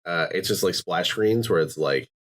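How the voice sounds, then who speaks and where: A man's voice: low voice, normal speed. A man hosting a podcast in casual chat, swearing freely and often slipping into quoted voices. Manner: animated, profane, jumps into quoted voices. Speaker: podcast host.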